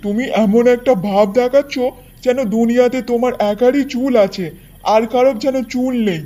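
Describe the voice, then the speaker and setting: A henpecked village husband from a cartoon folktale, acted by a man. His voice is unusually high, and he grumbles in a weary, fed-up manner. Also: normal speed, dark tone, lo-fi sound. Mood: weary, annoyed. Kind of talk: Bengali cartoon folktale acting.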